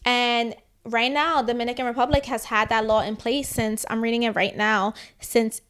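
Woman podcast co-host, casual opinionated chat, fairly high voice, lots of "like". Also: normal speed, clear, resonant tone. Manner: chatty, lots of "like", animated opinions.

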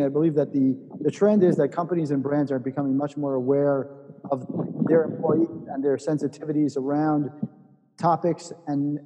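Podcast host guy, kinda husky, long echo on his voice. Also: a little high, mellow. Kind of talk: casual interview talk. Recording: long reverb.

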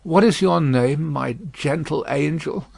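A frail old prisoner, dazed and haunted, as a man reads him aloud, his voice lifted high and wavering with each broken question. He speaks haltingly, as if groping for memories. The recording is dry and close. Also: animated, gravelly, no room sound, full character voice. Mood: bewildered. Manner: halting, wondering, broken phrases.

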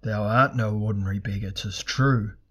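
A vast, ancient god of fire, voiced by a male storyteller, speaks in a low, loud voice. He is imperious and hungry, delivering solemn, archaic proclamations as in a dark fantasy audiobook. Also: steady tone, normal speed, slightly rough. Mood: grim resolve. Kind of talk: dramatic fantasy audiobook character voice.

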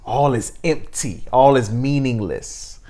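A man in his thirties hosting a reflective interview, speaking in an earnest, deliberate way. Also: mid-range voice, warm tone. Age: 30s. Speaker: podcast host.

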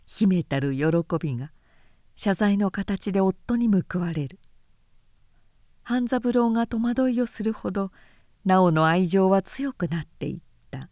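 A woman narrating a literary story in a composed, measured and carefully phrased way. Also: mid-range voice, darkish tone, no echo, clean studio sound. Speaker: narrator and reader.

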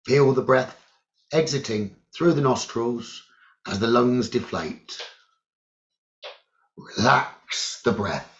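A man with a mid-pitched voice leads a guided meditation very slowly. He gives calm, deliberate instructions in short phrases and leaves long pauses between them. The recording sounds a little dull and lo-fi. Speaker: meditation teacher.

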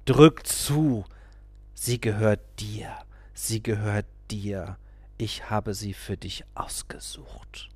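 A man's voice: medium pitch, lively intonation, no echo. A man voicing a sneering old guru. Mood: mocking. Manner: slow, mocking, whispered urgings. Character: sneering old male guru, mocking and menacing.

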